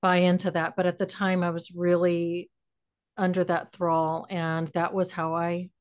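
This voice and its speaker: Lower-pitched American woman, thinking aloud. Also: completely flat delivery, unhurried, a little mellow, clean tone. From Texas, United States. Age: middle-aged. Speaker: podcast host. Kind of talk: reflective conversational discussion.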